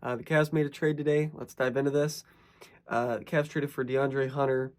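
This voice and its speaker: A young man hosting his own sports channel, his voice slightly raspy from a lingering cough. He talks casually and rambles, correcting himself as he goes, in an average-pitched voice. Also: little pitch movement, moderate pace. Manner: rambling, self-correcting, conversational. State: sick with a cough.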